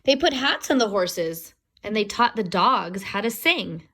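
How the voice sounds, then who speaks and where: A woman reading a children's storybook aloud expressively, pausing to explain words and pictures to young listeners. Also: mid-range voice, animated, bright, forceful tone.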